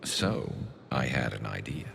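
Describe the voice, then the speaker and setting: Game alien comrade-in-arms: bottomless gravel, metallic flange, lively wry delivery over background noise. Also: male voice, a bass voice, a little slow, full character voice. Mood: wry, reflective. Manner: dry, wry, bantering. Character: male turian alien soldier, wry, cocky, loyal old comrade. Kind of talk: video game voice acting.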